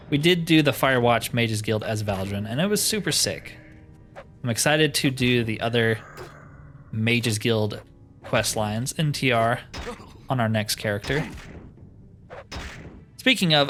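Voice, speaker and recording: Man, mid-pitched, casual let's play host who thinks aloud and questions himself, music underneath. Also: fairly bright tone. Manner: musing, talks to himself in questions. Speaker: host of a gaming roleplay series. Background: music behind the voice.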